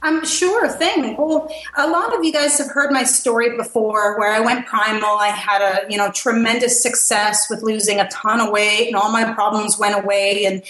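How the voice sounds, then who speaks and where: A woman's voice: on the high side, fairly even intonation, moderate pace, hardly any pauses, a bit bright. A woman appearing as a podcast guest, talking in a breezy, informal way with playful asides. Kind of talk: casual interview chat. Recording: echoey room.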